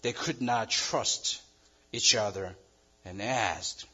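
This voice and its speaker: Slow, husky Korean-accented male pastor. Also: on the low side, bright, forceful tone. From South Korea. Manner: earnest, measured, halting restarts. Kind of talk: sermon. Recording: dull lo-fi sound.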